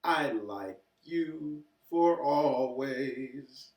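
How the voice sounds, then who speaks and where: A man's voice: medium pitch, expressive, darkish tone. Guy being a loving storybook mom singing a tender lullaby, really drawn out, roomy echo. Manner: drawn-out, singsong. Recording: echoey room, distant from mic.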